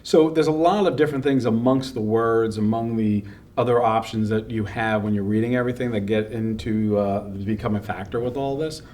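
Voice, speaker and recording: A man's voice: lowish voice, fairly quick, a little mellow, far from the mic. A man explaining things in a wordy, casual way during an interview.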